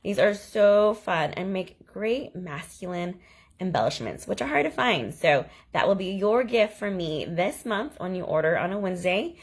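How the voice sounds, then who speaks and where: A woman hosting a stamping craft video, chatting enthusiastically as she shows cards, her voice slightly hoarse from a lingering cough. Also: mid-range voice, lively intonation, moderate pace. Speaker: stamping demonstrator and channel host. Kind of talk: casual crafting video walkthrough.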